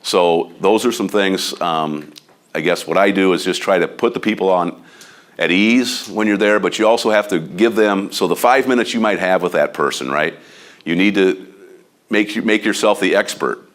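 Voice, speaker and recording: A deep-voiced man with a Midwestern American accent talks loudly and bluntly. His manner is animated and a bit overbearing, like a seasoned agent coaching colleagues in an office meeting. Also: normal speed. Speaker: real estate agent giving a training talk. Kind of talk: informal training talk to a room of agents.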